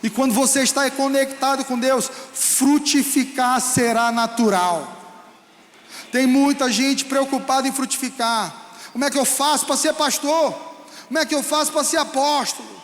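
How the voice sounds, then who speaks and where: A male pastor with a Brazilian Portuguese accent preaching a fervent sermon that rises to shouts. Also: very high-pitched, steady tone, normal speed. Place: church hall. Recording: echoey hall with long reverb.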